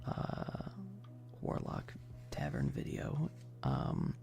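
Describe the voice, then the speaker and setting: A man chatting casually and softly on an ASMR livestream, rambling with hesitant pauses and often slipping into breathy, whispery speech. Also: soft background music. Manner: self-deprecating, nerdy. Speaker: ASMR streamer.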